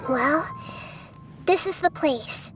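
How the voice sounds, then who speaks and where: A woman voicing a calm, wise, child-sized young goddess in a video game, speaking in a thoughtful, measured way. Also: high voice. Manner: measured, thoughtful, formal phrasing. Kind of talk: video game story dialogue. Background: game music.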